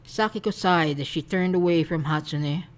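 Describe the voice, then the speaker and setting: Brief: female narrator for romantic fan fiction, low register, soft storytelling read. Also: moderate pace.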